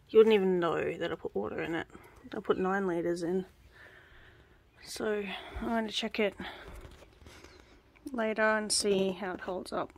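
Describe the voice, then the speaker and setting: A young woman chatting casually through a backyard gardening vlog, rambling with lots of ums, filmed on a handheld phone outdoors. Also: mid-range voice. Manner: relaxed, rambling, many ums, drops to a whisper up close. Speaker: vlogger. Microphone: phone held close.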